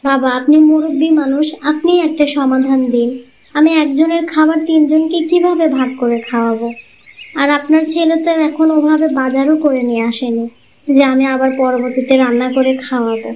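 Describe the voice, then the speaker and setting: Cartoon actress playing a crafty married woman; very high voice, insistent, wheedling, muffled recording. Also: fairly even intonation, fairly quick, lightly acted. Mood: pleading, falsely meek. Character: bossy, scheming married woman in a family folktale. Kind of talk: cartoon fairy-tale voice acting. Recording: muffled lo-fi audio, clear background noise.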